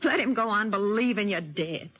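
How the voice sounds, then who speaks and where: An actress playing a protective, wounded frontier aunt in an old-time radio western, speaking earnestly and emotionally with a husky voice. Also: female voice, mid-range voice, animated, moderate pace, background noise, lightly acted. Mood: bitter, pleading. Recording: dull, lo-fi old radio recording.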